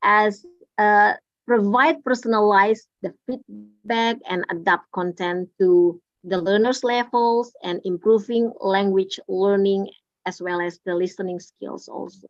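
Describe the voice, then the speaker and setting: A woman speaks over a video call, answering workshop questions. She speaks haltingly, with frequent fillers and restarts. The audio is dull and lo-fi, with a dry sound. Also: medium pitch, steady tone, dry recording. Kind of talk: unscripted answers at an online workshop.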